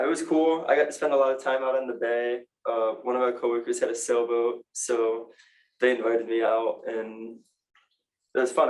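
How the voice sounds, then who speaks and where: A man's voice: mid-range voice, rough recording. Laid-back young man giving a class talk. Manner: relaxed, rambling, many fillers. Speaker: graduate student presenter. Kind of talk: casual class presentation.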